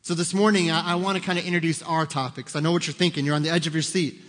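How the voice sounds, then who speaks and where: A man's voice: fairly high pitch, fairly even intonation, very fast, a bit bright. A man in his thirties preaching a conversational sermon, joking and quizzing the congregation in a church auditorium. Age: 30s. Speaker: pastor. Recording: long hall reverb.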